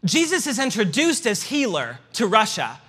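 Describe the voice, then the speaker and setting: A man's voice: sits high, wildly swooping pitch, normal speed, bright, forceful tone, slightly rough. A man with a Midwestern accent giving a casual history lecture with slides, chatty and joking, with fillers. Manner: conversational, joking asides, many fillers. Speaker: church history speaker. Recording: hall reverb.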